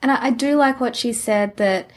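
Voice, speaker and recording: A woman's voice: a little high, normal speed. A woman co-hosting a podcast in a casual, conversational style. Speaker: podcast co-host.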